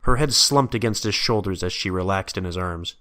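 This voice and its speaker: A man's voice: low-pitched, brisk, pushed and bright. A man reading scripted story narration.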